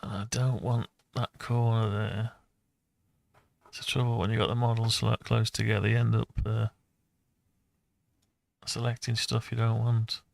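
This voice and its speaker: A man talks himself through a software task in a very creaky, gravelly voice. His delivery is slow and flat, muttered as he thinks aloud. The sound is dry and close, like an informal hobby tutorial. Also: fairly low pitch, no room sound. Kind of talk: unscripted screen-recorded software walkthrough.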